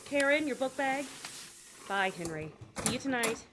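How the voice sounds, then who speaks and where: An actress playing a practical, harried working wife and mother in an audio drama, brisk and no-nonsense. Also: high voice, animated. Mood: harried, calling out. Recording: clear background noise.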